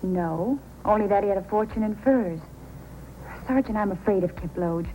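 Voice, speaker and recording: An actress playing a coy, guarded frontier entertainer in an old-time radio drama. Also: female voice, mid-range voice, moderate pace, mellow, close to an everyday voice. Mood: anxious. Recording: noisy old radio recording.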